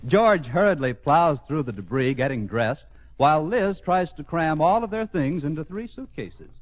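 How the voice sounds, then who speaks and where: High, animated male radio show announcer. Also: normal speed. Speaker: announcer and narrator. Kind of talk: 1940s radio sitcom scripted narration. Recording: muffled old radio, music and audience.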